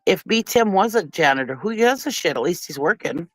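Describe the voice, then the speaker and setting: A woman's voice: on the low side, expressive, fairly quick, no room sound. Stuffy-nosed woman hosting a blunt livestream. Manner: blunt and profane, laughs often. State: stuffy nose from a cold, sniffly. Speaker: livestream commentary host. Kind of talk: casual livestream commentary chatting with viewers.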